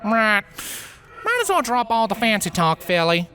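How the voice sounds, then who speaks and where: A man voicing a calm, plainspoken earth pony mare ghost with a Southern US drawl. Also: high-pitched, moderate pace, faint music behind, pitched above the performer's own voice, heavily acted. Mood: easygoing. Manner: folksy, plainspoken.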